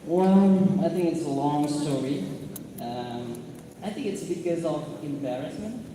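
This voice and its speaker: Male guest speaker, Indonesian accent, halting storyteller checking in with listeners; distant mic, clearly noisy room. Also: fairly high pitch, animated. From born in South Sulawesi, grew up in Malaysia. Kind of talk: personal storytelling to a student audience. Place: large echoey room at an event. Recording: very echoey hall, distant mic, loud noise.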